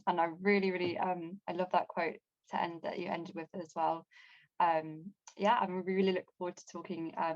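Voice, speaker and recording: A woman hosting an online panel over a video call, thanking speakers warmly and hesitating with many fillers. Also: medium pitch, no echo, muffled recording. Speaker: conference host.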